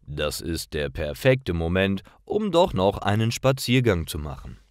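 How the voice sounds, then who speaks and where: Slow, low-pitched male story narrator. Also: very animated, no room sound, clean studio sound. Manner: careful, clearly separated sentences. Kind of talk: scripted story narration for language learners.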